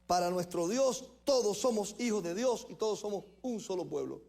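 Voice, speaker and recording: A Cuban pastor delivering a sermon in a notably high voice. He preaches emphatically, stretching out key phrases and stopping to correct himself mid-sentence. His accent is plainly Cuban throughout. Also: expressive, gravelly, warm tone, soft background music. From Cuba. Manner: emphatic, with slow stressed phrases and self-corrections.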